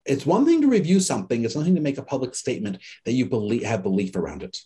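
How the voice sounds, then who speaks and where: Fast, animated man lecturing on a video call. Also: medium pitch. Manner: animated storyteller, acts out quoted people. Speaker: course instructor. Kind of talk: lively conversational lecture.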